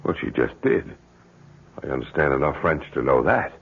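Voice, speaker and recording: A low, gravelly middle-aged father in an old radio play, fretting and pressing his point. He halts and repeats words, his pitch swinging about, on a thin, phone-like recording. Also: expressive, heavily acted. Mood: bewildered. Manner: halting, repeats and stumbles over words. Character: worried, fretful middle-aged father, insistent and blunt. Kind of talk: 1970s radio drama acting. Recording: thin, band-limited 1970s radio recording.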